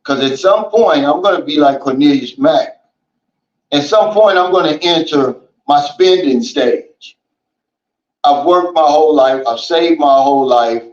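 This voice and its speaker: A man hosting a casual livestream, reading out viewer comments and chatting in a relaxed, folksy way, often saying "man" and "you know". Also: on the high side, measured pace. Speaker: livestream host. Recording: roomy and dull, lo-fi.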